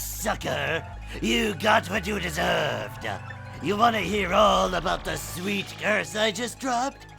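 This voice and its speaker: High-pitched, gloating curse-casting villain spirit. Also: male voice, gravelly, full character voice, poor audio. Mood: gloating, cackling. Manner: gloating, theatrical. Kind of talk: cartoonish video game dub acting.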